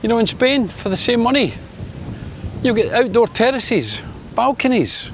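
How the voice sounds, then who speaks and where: A man's voice: big pitch swings, moderate pace, slight background noise. Chatty Scottish man in his sixties. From Scotland. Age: 60s. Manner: chatty, wry, lots of fillers, lifts his pitch for sunny Spain. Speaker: vlogger. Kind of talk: casual opinion vlog to camera.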